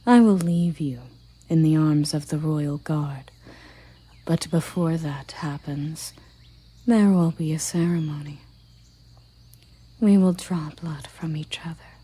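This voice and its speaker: A woman voicing a regal, tender and possessive elven queen in a breathy ASMR roleplay whisper, with soft, commanding endearments. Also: low-pitched, steady tone, slow pace, pauses often, exaggerated voice. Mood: possessive, devoted.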